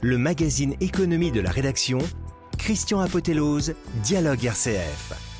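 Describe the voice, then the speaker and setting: A man's voice: fairly high pitch, very animated, normal speed. A man reading a scripted radio programme ident as the station's announcer. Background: music.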